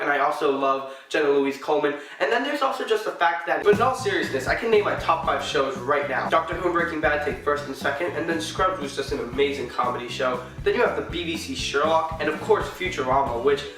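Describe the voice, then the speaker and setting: A stuffy, congested man talks chattily and eagerly into the camera, with dry sarcastic asides. His somewhat high voice sits back from the mic in a very echoey room. Background music plays clearly under him. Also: fairly bright tone, distant mic. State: stuffy, sick voice. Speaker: vlogger. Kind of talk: casual vlog commentary.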